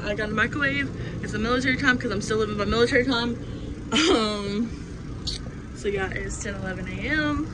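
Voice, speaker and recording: A young adult woman giving a casual, unscripted home tour inside a camper in a rambling, offhand manner. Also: mid-range voice. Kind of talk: casual, unscripted show-and-tell. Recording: noisy handheld recording, steady background noise.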